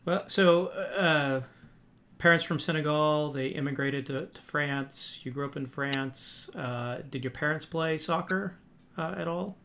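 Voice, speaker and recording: A man's voice: a little high, a little slow, fairly bright tone. A man hosting a podcast interview in a friendly, casual way, with many fillers and restarts. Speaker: podcast host.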